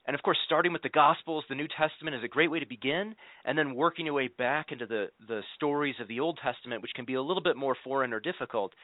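A man's voice: mid-range voice, dry recording, narrow, tinny audio. A man explaining as a guest scholar in an interview, in a teacherly way, often checking in with "right?". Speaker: guest scholar, professor of scripture.

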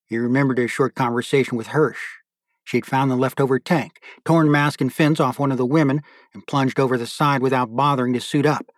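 A man's voice: medium pitch, fairly even intonation, fairly quick, no room sound, clean studio sound. A man giving a scripted narration as an audiobook narrator and podcast host.

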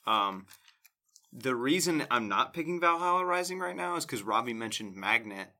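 A man's voice: mid-range voice, animated, moderate pace, sharp tone. A man co-hosting a podcast, chatting casually and enthusiastically, rambling with lots of fillers. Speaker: podcast co-host. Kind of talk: casual chat.

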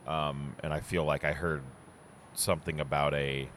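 A man's voice: very deep voice, level tone, normal speed, fairly bright tone, a little hiss or hum. A man co-hosting a podcast in casual chat, easygoing and a little jokey, rambling with fillers. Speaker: podcast co-host.

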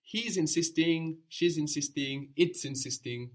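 A man's voice: a little high, a little slow. A man teaching a language lesson, patiently prompting his student with questions and brief praise.

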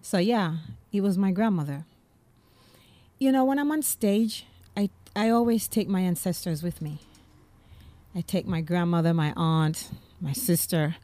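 A woman, an actress, speaks with a dark, mellow, lowish voice in an earnest, personal way. The recording is dry and close. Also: measured pace, no echo. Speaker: actress and playwright. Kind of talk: conversational interview answer.

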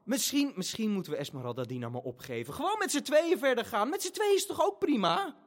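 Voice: mid-range voice, very animated, fast, natural voice. An actor playing a hesitant, easily discouraged child who also narrates a family radio play, faltering and repeating words. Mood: doubtful.

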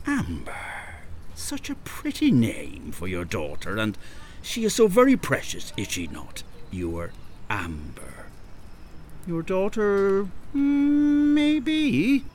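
An actor in an audio drama playing a menacing, smooth-talking government recruiter and kidnapper, with a mannered, mock-polite delivery. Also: male voice, sits high, huge pitch swings, slow, a little mellow, a little hiss or hum, heavily acted. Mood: veiled threat.

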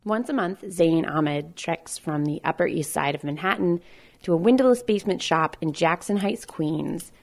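Woman reporter, measured low voice. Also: darkish tone. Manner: clear measured narration, friendly questions. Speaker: radio reporter. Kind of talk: news report with field interviews.

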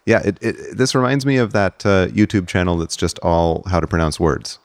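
A man with a very low voice hosts a casual podcast. He repeats words as he works toward his point. The sound is dry, with very little room in it. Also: expressive, moderate pace, no room sound. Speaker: podcast host.

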